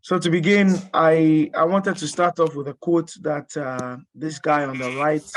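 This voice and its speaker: A man's voice: a little high, fairly even intonation, a little slow, no echo. A man in his thirties, born in Ghana and working as a strength and conditioning coach, gives a webinar presentation in a hesitant way, full of fillers and restarts.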